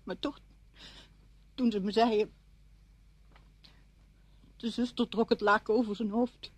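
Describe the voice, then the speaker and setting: An actress playing a bitter, grieving widow in a radio play, with brittle, sardonic and halting delivery. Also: female voice, a little high, fairly even intonation, slightly rough, no room sound. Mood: numb, grieving. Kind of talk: Dutch radio drama acting.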